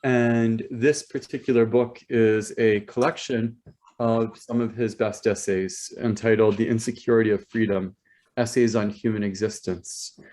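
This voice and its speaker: A man acting as rabbi and host, introducing a speaker over a video call in a halting way, with many ums and repeated words. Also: fairly low pitch, steady tone, darkish tone. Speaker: rabbi hosting a talk. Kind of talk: hesitant spoken introduction.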